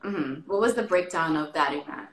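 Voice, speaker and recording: A woman interviewer chatting in an informal, expressive way. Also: low voice, moderate pace. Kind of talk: casual chat. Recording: roomy, echoey sound.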